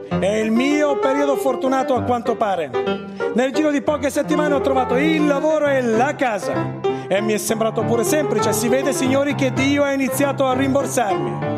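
A man reading a dramatic literary monologue as a stage narrator, in a declamatory way that addresses the listeners directly. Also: very high-pitched. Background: loud music.

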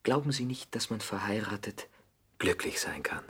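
Ein junger Schauspieler spielt in einem Hörspiel der 1960er einen naiven, ernsthaften jungen Gentleman mit belegter Stimme. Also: lowish voice, normal speed, breathy edge, exaggerated voice. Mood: naive, curious. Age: young adult. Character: naive, eager, earnest young gentleman. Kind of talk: 1960s German radio play acting.

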